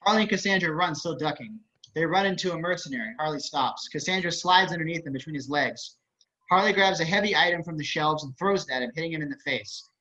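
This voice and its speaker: A man's voice: on the high side, lively intonation, quick pace, pushed and bright. A man narrating stage directions at an online table read, with wry, casual asides. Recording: dull video-call audio.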